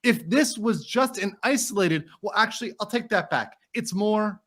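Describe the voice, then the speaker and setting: A man's voice: sits high, animated, normal speed, fairly bright tone. A man doing a dramatic reading as an indignant, courteous trial lawyer, formal and emphatic as he argues to a judge. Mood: insistent.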